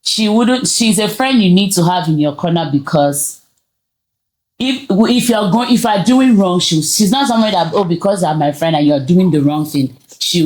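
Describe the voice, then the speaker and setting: Low-voiced Nigerian woman hosting a talk. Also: darkish tone, rough recording. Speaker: host of a talk show. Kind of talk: casual conversational hosting.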